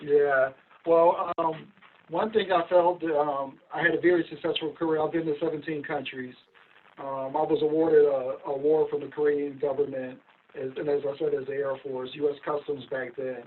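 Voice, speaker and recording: A middle-aged man from Philadelphia, a guest recounting his career in a measured, steady way with frequent ums. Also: a little high. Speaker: guest, investigation company owner. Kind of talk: casual interview over a call. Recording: tinny phone-like line, distant mic.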